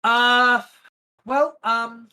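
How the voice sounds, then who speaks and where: A woman runs a tabletop game in a bright, fairly high voice. She narrates casually at an unhurried pace, often giggly and swearing. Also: steady tone. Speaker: game master.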